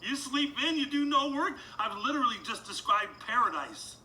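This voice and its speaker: A man voicing a big, clumsy, excitable video-game strongman in an animated story, loud and blustery. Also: extremely high pitch, normal speed, slight background noise, full character voice. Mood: eager, upbeat. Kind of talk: animated film character acting. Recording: music behind the voice.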